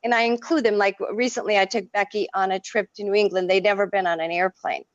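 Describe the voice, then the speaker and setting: An expressive woman, French her first language, tells stories with lots of feeling as an interview guest. Her voice sits mid-range for a woman. The recording is dry and a little dull, with little room sound. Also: medium pitch, fast talker, no echo. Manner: effusive, expressive storyteller. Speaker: artist and art teacher, interview guest. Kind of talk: casual interview.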